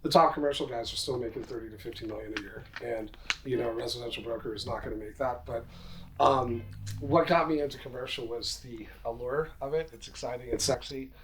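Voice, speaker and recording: Fast-talking middle-aged man, casual and self-assured. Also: mid-range voice, a little hiss or hum, rough recording. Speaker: podcast guest, commercial real estate entrepreneur. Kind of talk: casual interview talk.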